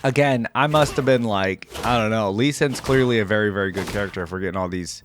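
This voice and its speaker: A man giving casual, slangy, enthusiastic gaming reaction commentary, thinking out loud as he goes. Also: fairly low pitch, quick pace. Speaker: reaction video host. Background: game music.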